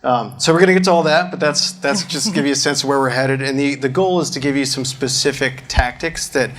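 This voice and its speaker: A man's voice: mid-range voice, fairly quick, a bit bright, a little hiss or hum. A man moderating an onstage fireside chat, upbeat and chatty, with a lot of "um" and "uh". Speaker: interviewer, moderator. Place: event stage in front of an audience.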